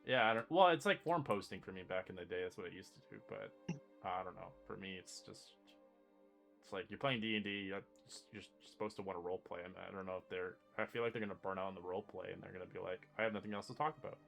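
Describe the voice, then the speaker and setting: A man's voice: low voice, fast talker, gravelly, fairly bright tone, faint music behind. A man chatting casually before a tabletop game, rambling and restarting his sentences, laughing easily.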